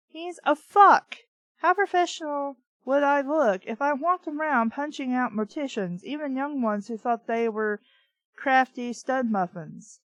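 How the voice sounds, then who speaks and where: A woman's voice: sits high, no echo, rough recording. A woman host reading fiction aloud and breaking in with sarcastic, irritated, profane commentary. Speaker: host of a bad-book reading show.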